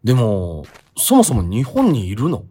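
A man's voice: lowish voice, wildly swooping pitch, unhurried, dark tone, slight background noise. An actor playing a naive, doubtful young man questioning his friend casually in a comic radio drama. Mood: sceptical.

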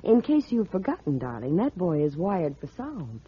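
Very husky anxious sweetheart. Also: female voice, on the low side, big pitch swings, normal speed, exaggerated voice. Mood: worried. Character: anxious, kindly young woman, detective's sweetheart. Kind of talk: 1940s radio drama acting. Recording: muffled old radio broadcast recording.

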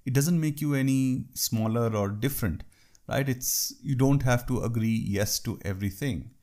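A man's voice: medium pitch, moderate pace, darkish tone. A man from India with an Indian English accent, talking through workplace etiquette in a conversational way with wry asides. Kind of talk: talk on workplace culture.

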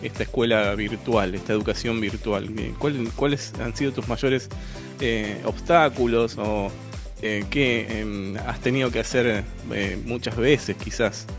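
A man's voice: mid-range voice, steady tone, unhurried. Argentine man interviewing, music behind. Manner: chatty, hesitant questions with fillers. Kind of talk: interview conversation.

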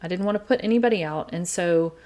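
A middle-aged woman with an East Texas accent, hosting her podcast from a quiet home in an earnest, chatty way. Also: lowish voice. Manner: chatty, earnest, talks faster as she warms up. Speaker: podcast host. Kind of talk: casual solo podcast talk.

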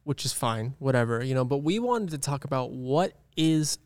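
A young man in his early twenties with a smooth, clear, resonant voice of middle pitch. He co-hosts a church podcast with an upbeat, playful manner, and the recording is close and dry. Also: animated, moderate pace, a little mellow, dry recording. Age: 20s. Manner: upbeat, reading notes aloud, playful teasing. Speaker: podcast co-host. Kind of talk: casual chat.

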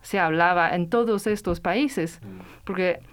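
Hesitant woman guest with a smooth voice. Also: on the low side, measured pace. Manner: hesitant, many restarts and fillers. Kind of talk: interview answer, personal story.

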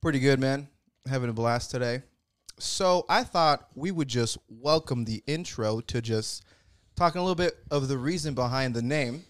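Laid-back young man, smooth clear voice. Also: medium pitch, normal speed, no echo, studio quality. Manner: laid-back, slangy, swears casually. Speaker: podcast co-host. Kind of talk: casual chat.

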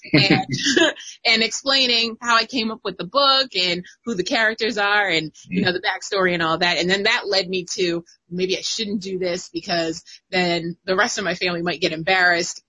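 A woman in her thirties chatting casually as a guest writer, an animated storyteller who laughs often. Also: on the low side, brisk, husky, low-quality recording. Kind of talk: casual chat.

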